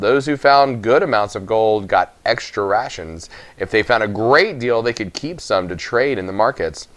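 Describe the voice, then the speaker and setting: A man reading a first-person children's story aloud with expressive narration. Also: lowish voice, no room sound. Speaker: audiobook reader.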